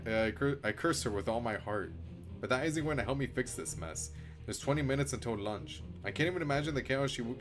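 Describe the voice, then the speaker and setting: Expressive man reading a game story aloud, music behind. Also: medium pitch. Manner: theatrical, expressive, swears freely. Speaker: streamer reading a visual novel aloud. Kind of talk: dramatic read-aloud mixed with casual live reactions.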